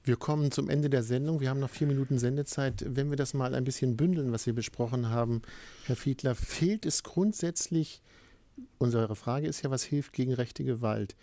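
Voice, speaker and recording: A man hosting and moderating a call-in radio discussion, calm and measured as he steers the guests. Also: medium pitch, no room sound. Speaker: radio talk show host.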